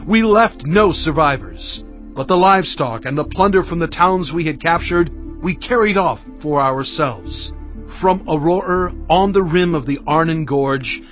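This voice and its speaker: Aged leader, higher pitch, grave recounting, scripture drama, soft music behind. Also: male voice, lo-fi sound. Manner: solemn, deliberate storytelling.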